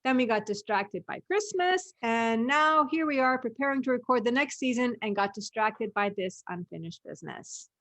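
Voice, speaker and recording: A woman's voice: on the high side, lively intonation, normal speed, few pauses, a bit bright. A woman hosting a casual cast discussion, with a joking, teasing way of talking. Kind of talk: casual chat.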